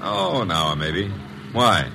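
An actor playing a wisecracking, brash detective's assistant in an old-time radio drama, sardonic and breezy. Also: male voice, sits low, wildly swooping pitch, slow pace, gravelly, heavily acted. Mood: breezy teasing. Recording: noisy vintage radio recording.

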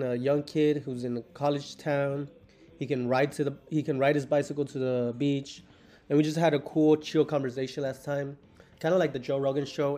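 A man in his thirties from the United States, hosting a podcast in relaxed, rambling casual chat and swearing casually. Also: a little high. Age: 30s. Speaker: podcast host. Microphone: remote call.

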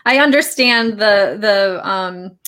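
A woman's voice: mid-range voice, takes it slowly, fairly bright tone. A woman hosting a casual interview, asking friendly, encouraging questions. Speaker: interview host.

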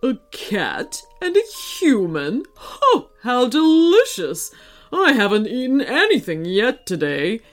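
A woman voicing a hungry male shark in a storybook reading, blunt and matter-of-fact in an unhurried, slightly distant way. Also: a little high, huge pitch swings, faint music behind, heavily acted. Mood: greedy.

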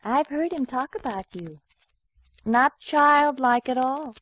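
High-voiced sly housemaid. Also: female voice, animated, slow pace, fairly bright tone, no room sound, heavily acted, rough recording. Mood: insinuating. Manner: coaxing, insinuating. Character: scheming, sly young female housemaid-companion, coaxing. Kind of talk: old-time radio drama acting.

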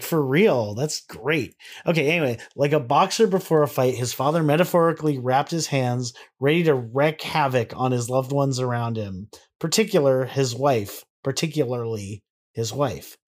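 A man's voice: medium pitch, moderate pace. Sweary, rambling male podcast co-host. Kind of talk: casual banter, reading song annotations aloud.

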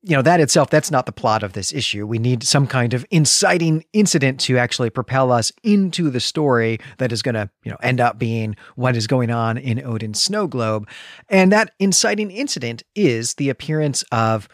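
A man's voice: mid-range voice, lively intonation, normal speed, dry recording, studio quality. A man hosting a podcast in casual discussion, stressing his points by repeating words. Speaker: podcast host.

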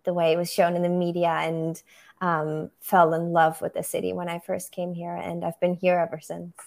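A young photographer from Germany speaks English. She answers in a measured, reflective way, often pausing on "um" while she builds her points. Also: fairly low pitch, monotone, gravelly, darkish tone. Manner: thoughtful, measured, frequent "um". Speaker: photographer, podcast guest. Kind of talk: interview answers.

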